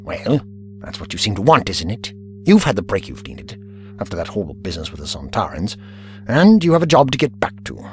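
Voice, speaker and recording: Casting: a man to play vain, pompous time-travelling inventor for audio drama; lowish register, swooping delivery. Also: very animated, fairly quick, faint music behind. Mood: brusque, dismissive. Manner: pompous, formal, dismissive. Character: aloof, vain time-travelling alien inventor, tinkering with his ship.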